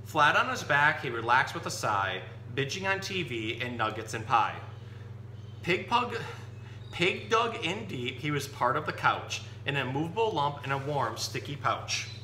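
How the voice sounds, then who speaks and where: A man with a very bright, sharp voice presents animals and storybooks in an informal, explanatory way. The recording is roomy and echoey, with faint hum and noise behind him. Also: on the high side, big pitch swings, measured pace. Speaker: zookeeper presenting animals and reading stories to children. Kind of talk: informal animal lesson and picture-book read-aloud.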